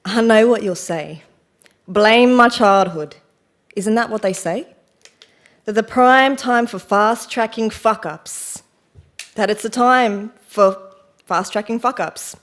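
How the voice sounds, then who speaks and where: An actress playing a rattled, self-deprecating woman in a confessional stage monologue, speaking in a wry, halting, defensive way. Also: medium pitch, close to an everyday voice. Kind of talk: theatrical monologue, conversational and confessional.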